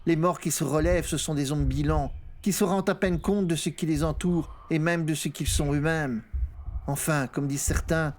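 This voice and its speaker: A male audiobook narrator with a somewhat high voice. He reads first-person horror fiction dramatically, as a scripted story told from inside the action. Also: fairly even intonation, moderate pace, a little mellow.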